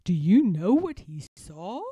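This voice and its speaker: A woman storyteller reading a children's picture book aloud in a dramatic, expressive way with suspenseful pauses. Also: on the low side, slightly rough, no echo, highs cut off.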